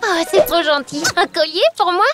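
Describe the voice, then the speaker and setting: A woman's voice: very high-pitched, moderate pace, over loud music. A woman voicing a spirited, caring teenage girl in a cartoon dub, youthful and emphatic. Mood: upbeat. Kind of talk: French cartoon dub acting.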